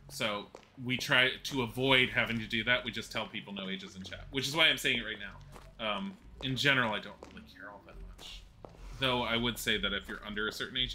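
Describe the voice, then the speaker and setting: Bright-voiced streamer in his forties. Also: on the low side, a little fast. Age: 40s. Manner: rambling, chatty, laughs at own mishaps. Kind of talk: casual gameplay commentary while chatting with viewers.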